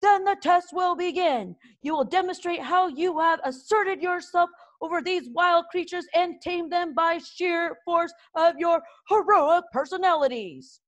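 Nasty Viking drill master, shouty and high, storybook, voiced by a woman. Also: measured pace, few pauses. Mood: bossy. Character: gruff, bad-tempered male Viking trainer.

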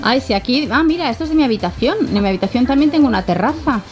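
A woman's voice: sits high, animated, very fast. A mother giving a chatty, playful house tour, laughing often, outdoors by a pool over background music. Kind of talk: casual family vlog narration.

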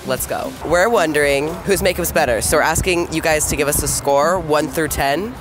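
A man's voice: fairly high pitch, moderate pace, over music, poor audio. A young man hosting a vlog, cheerfully asking strangers on a busy city sidewalk to rate makeup, with a giggly, upbeat manner. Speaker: vlog host. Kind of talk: casual street interview chat.

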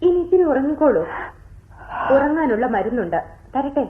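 An actress in a radio drama playing a patient, attentive nursing sister who questions gently and soothingly. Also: female voice, sits high, sing-song, normal speed, noisy background. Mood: gently questioning. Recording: tinny band-limited radio broadcast.

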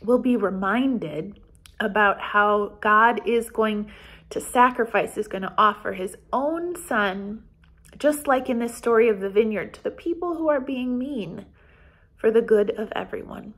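An American woman in her forties leading a children's Bible storytime as pastor, speaking in simple, deliberate phrases meant for young children. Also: a little high, a bit bright. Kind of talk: children's storytime, reading and explaining. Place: small office, indoors.

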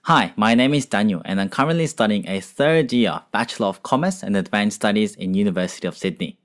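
A man's voice: fairly low pitch, lively intonation, fairly quick. A man in his twenties, a university student speaking in a formal, rehearsed way into a studio mic. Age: 20s. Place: small home studio. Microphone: studio mic in front.

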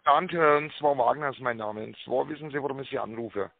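A man giving a rehearsed telephone sales pitch, full of tag words and hesitations, with a raspy voice. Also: fairly quick, no echo. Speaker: telemarketing caller pitching a prize draw. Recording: narrow tinny phone line.

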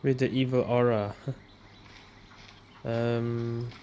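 Very low-voiced woman, casual gaming commentary. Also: flat delivery, very slow, warm tone, a little hiss or hum. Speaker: gaming streamer.

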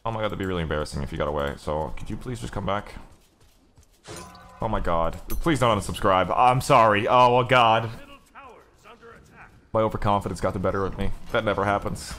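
A man streaming a video game with casual, profane commentary, excitable and sarcastic in manner. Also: on the low side, fairly quick, long pauses.

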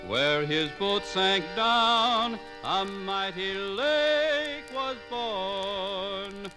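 High-voiced man singing a slow folk ballad. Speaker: ballad singer. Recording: 1950s radio broadcast on LP with music. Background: music.

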